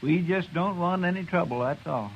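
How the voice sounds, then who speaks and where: An actor playing an easygoing, deferential cowboy deputy with a folksy, polite drawl in a 1950s radio western. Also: male voice, sits high, normal speed, creaky. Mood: placating. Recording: dull, noisy old radio recording.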